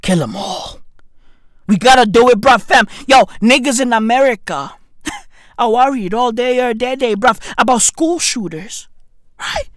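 A man, doing a comedic rant full of slang and sudden shouts. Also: extremely high pitch, lively intonation, a little slow, no echo, clean studio sound. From South Africa. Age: 30s. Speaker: comedy podcast host.

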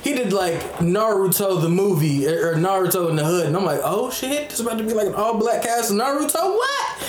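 Mid 20s dude, high voice, playful cohost, echoey w noise in the back. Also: male voice, big pitch swings, fairly quick. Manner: playful, mock-preachy questioning. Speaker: podcast co-host. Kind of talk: casual chat. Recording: echoey room with background noise.

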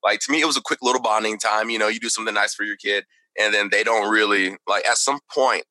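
Brief: young man from Texas, low bright voice, dry close sound, chatty and loose. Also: quick pace, dry recording, low-quality recording. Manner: chatty, lots of "like" and "you know". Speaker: podcast guest. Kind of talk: casual conversation.

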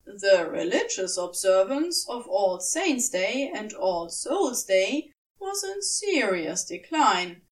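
A woman narrating scripted fiction in a measured, expressive storytelling manner. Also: medium pitch, far from the mic, low-quality recording. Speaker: audiobook narrator.

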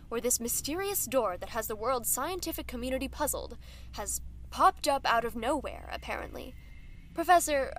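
An actress playing a female news anchor broadcasting live, guiding the interview with brisk questions. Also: high-pitched, fairly bright tone. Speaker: news presenter. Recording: noisy background.

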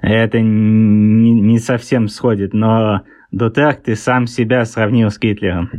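A man in his thirties with an English-tinged Russian accent, a guest author answering interview questions in a halting way, often pausing to search for words. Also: lowish voice, level tone, a little mellow, no room sound. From born in Russia, raised in England. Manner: hesitant, searches for words, many fillers.

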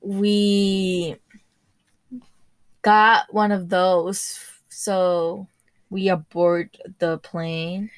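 Slow, careful teenage girl. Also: mid-range voice, level tone, no echo. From Spain, raised in Ecuador. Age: teens. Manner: slow, careful, searching for English words. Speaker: interviewee. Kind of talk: school podcast interview.

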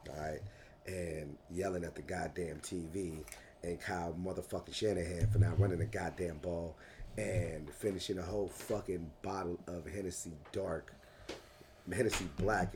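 A man with a very low, hoarse voice holds forth in casual podcast chat, swearing freely and telling boisterous stories. A faint hiss of noise sits under the recording. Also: darkish tone. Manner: profane, boisterous storytelling. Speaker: podcast host.

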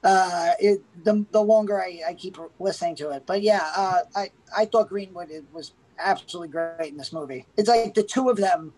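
A woman's voice: lowish voice, fairly quick, few pauses, a little mellow, low-quality recording. A woman co-hosting a casual movie review, talking hesitantly with many restarts. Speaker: podcast co-host.

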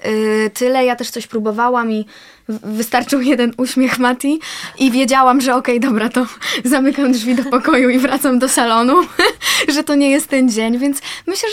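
A young woman, an actress and singer, talking casually in an interview, fluent but full of fillers. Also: fairly high pitch. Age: young adult. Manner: fluent, many fillers and self-corrections.

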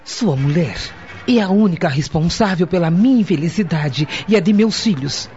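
An actress playing a bitter, sarcastic widow bent on revenge in a radio soap opera. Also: female voice, fairly low pitch, lively intonation, normal speed, warm tone, a little hiss or hum, close to an everyday voice. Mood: bitter, menacing. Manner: sarcastic, insolent. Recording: muffled audio with music behind.

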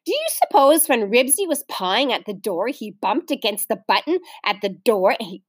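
Storybook mom, read by a woman: firm, scolding, exasperated, with big pitch swings. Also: very animated, normal speed, slightly rough, dry recording, studio quality. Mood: puzzled. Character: stern, exasperated mother of a young girl.

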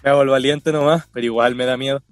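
A man plays a bantering, slightly timid young Chilean in a radio drama. His medium-pitched voice is laid-back and slangy, recorded dry over faint music. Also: fairly even intonation, quick pace, no room sound, natural voice. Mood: uneasy. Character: timid but bantering young small-town guitar player. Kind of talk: naturalistic radio drama acting.

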